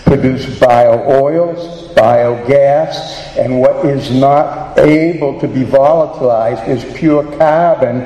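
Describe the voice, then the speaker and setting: A man's voice: mid-range voice, takes it slowly, few pauses, mellow. A man with a Boston accent giving earnest public testimony at a hearing, hurrying with many fillers and restarts. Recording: echoey hall with long reverb.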